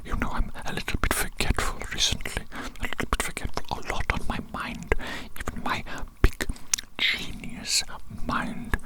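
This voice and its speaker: A woman doing a whispered ASMR roleplay as a smug, doting evil genius doctor, breathy and soothing, often repeating her phrases. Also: normal speed, few pauses, low-quality recording. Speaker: ASMR performer.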